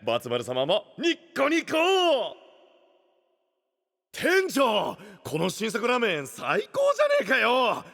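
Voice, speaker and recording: An actor playing a brash, fiercely loyal young boss in an anime voice drama, with rough, theatrical speech. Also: male voice, sits high, huge pitch swings, slow, fairly bright tone, exaggerated voice. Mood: proud, upbeat. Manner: rough delinquent-style speech, theatrical swings.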